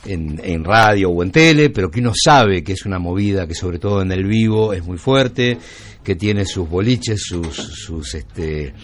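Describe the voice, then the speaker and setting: A man with a Buenos Aires Argentine accent hosting a conversational radio interview, asking long, reflective questions with pauses and repeated words. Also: low voice, animated, a little hiss or hum. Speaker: radio show host interviewing musicians.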